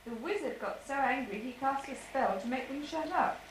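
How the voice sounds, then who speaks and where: A woman's voice: high voice, animated, moderate pace. A woman narrating a home-made puppet show in amateur storybook style. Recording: distant, echoey, noisy home video.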